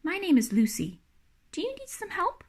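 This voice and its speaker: A woman's voice: very high-pitched, wildly swooping pitch, normal speed, voice pushed up, exaggerated voice. Woman playing a small, eager, curious girl. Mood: friendly.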